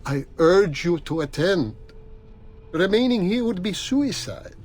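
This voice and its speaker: An actor voicing an anxious conspirator in a video game, reading in a formal, solemn and measured way. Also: male voice, high voice, lively intonation. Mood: grave, worried.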